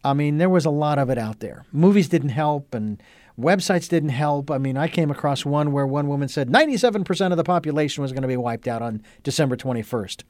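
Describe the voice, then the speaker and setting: Male talk-radio host, slightly high-pitched, earnest and rambling, close dry mic. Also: brisk, darkish tone, dry recording. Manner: rambling, many ums and uhs, earnest. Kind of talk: casual talk radio chat.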